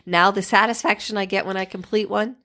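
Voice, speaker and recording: Co-host, woman, forties, mid-pitched, eager and agreeable, chatty. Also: steady tone, a little fast, sharp tone. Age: 40s. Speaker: podcast co-host. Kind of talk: casual chat.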